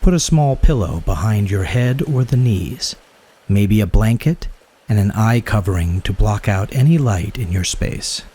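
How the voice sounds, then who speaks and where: Low, mellow, husky male meditation guide. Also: animated. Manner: soothing, unhurried instruction in short phrases. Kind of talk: guided yoga nidra meditation.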